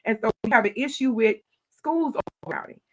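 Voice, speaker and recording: A middle-aged woman, a county commissioner telling stories in an animated, loud voice. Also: a little high, fairly quick, rough recording. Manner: animated storytelling, acting out her own quoted replies. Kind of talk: casual interview talk.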